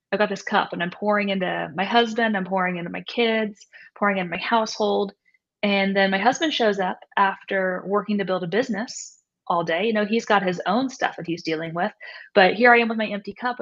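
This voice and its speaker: A woman's voice: mid-range voice, a bit bright. Woman in a muffled lo-fi recording. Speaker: author and coach, interview guest. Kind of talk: casual interview talk.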